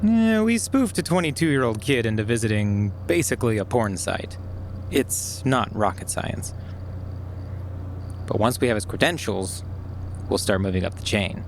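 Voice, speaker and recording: An actor playing a clever, controlled hacker plotting revenge, delivered as dry, naturalistic audio drama dialogue. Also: male voice, lowish voice, lively intonation, moderate pace, slight background noise. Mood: confident. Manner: matter-of-fact, dry, controlled.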